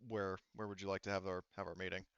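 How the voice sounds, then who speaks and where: A man voicing a measured, businesslike contact in a sci-fi tabletop game, speaking somewhat formally and hesitantly. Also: sits low, flat delivery, a bit bright, clean tone, dry recording, lo-fi sound.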